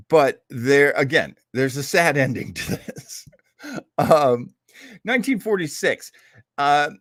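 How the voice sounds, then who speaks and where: Male host, very animated pitch, mid-range voice, casual rambling storytelling, wry asides, dry sound. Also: no room sound. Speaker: host of an old-time radio show program. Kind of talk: casual chatty introduction to vintage radio drama.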